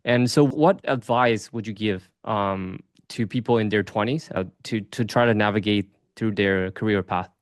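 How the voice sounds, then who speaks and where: A man hosting a podcast from a dark home studio, asking questions in a relaxed, hedging way. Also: on the low side, moderate pace, hardly any pauses, no echo. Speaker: podcast host. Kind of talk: casual interview hosting. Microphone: studio mic on boom arm.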